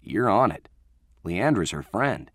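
A man's voice: low voice, sing-song, a little slow, no echo, clean studio sound, heavily acted. A man voicing a smug teenage boy in an audiobook story, teasing and wisecracking.

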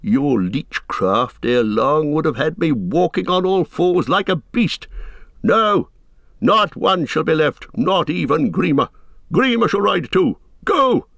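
Male narrator as old king, storybook fantasy, lifted pitch, commanding, stern, declamatory, dry close sound. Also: expressive, a little slow, hardly any pauses, no room sound. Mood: stern, proud. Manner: declamatory, stern, formal archaic speech. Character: aged warrior king, proud, resolute and commanding.

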